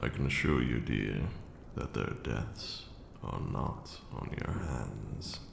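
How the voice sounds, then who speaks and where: An extremely deep, heavily creaking voice of an ancient vampire lord acted by a man, slow and formal. He is a stern but caring mentor in an intimate ASMR roleplay. The sound is echoey, with clear background noise. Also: full character voice. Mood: solemn, consoling. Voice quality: gravelly, very deep. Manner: formal, archaic, measured phrasing. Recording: roomy echo with audible background noise.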